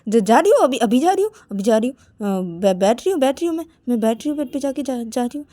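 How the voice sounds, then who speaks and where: A woman's voice: sits high, steady tone, moderate pace, a little mellow, no room sound, pitched above the performer's own voice, lightly acted. Woman acting a stammering, timid young newlywed. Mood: flustered, pleading. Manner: heavy nervous stammer, pleading repetition. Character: timid, panicky, frightened young female newlywed. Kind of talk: acted dialogue in narrated audio story.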